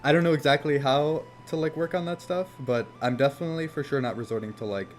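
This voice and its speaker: A man casually chatting on a game development live stream, rambling and swearing easily, with a somewhat monotone voice. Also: on the high side, quick pace, slight background noise. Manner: rambling, laid-back, swears casually, frequent "like" fillers.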